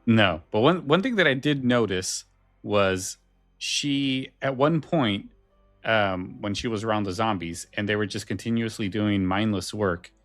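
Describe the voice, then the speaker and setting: A man co-hosting a podcast, talking casually and haltingly, repeating phrases as he thinks. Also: lowish voice, expressive, fairly bright tone, dry recording, faint music behind. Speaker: podcast co-host.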